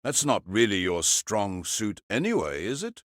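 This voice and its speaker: A woman voicing a stern, bitter older male lord in an audiobook story, with a measured, clipped, aristocratic manner. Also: very deep voice, big pitch swings, no room sound, studio quality, voice pushed down. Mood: curt.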